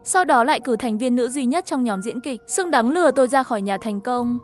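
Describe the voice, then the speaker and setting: A woman's voice: fairly high pitch, lively intonation, fairly quick. A woman reading a scripted first-person story as its narrator, with music behind her.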